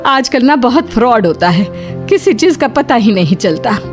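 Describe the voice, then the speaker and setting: A middle-aged mother from a cartoon story, played by a woman. She is protective and worried, fussing in a somewhat high voice, with faint music behind her. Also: big pitch swings, normal speed. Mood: wary. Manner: fussing, cautioning, motherly address. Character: Sushila, worried protective mother of grown son. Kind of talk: dramatised Hindi cartoon story dialogue.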